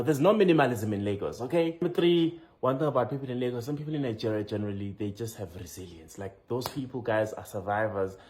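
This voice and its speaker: A man with a South African accent, chatting casually to viewers through a countdown of his travel impressions. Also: mid-range voice. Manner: animated, chatty, addresses viewers as guys. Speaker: visitor sharing travel impressions. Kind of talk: casual countdown list to camera.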